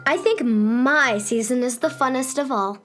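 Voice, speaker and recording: A woman's voice: high-pitched, unhurried, music in the background. An actress playing a sunny, self-satisfied young girl who rules summer in a children's radio drama, breezy and gushing. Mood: cheerful, proud.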